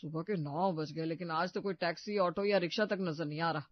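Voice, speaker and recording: Man as high-voiced grumbling office-going brother. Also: steady tone, fairly quick, close to an everyday voice. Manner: complaining. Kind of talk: Hindi cartoon family story dubbing. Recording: dull, muffled studio voice track.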